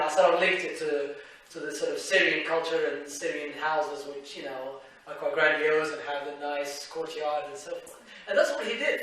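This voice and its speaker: A man's voice: on the high side, fairly bright tone. A man hosting a public talk, speaking in a loose conversational way with many fillers and restarts as he introduces a guest speaker. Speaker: event host introducing a speaker. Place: lecture hall. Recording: echoey hall, mic from far off.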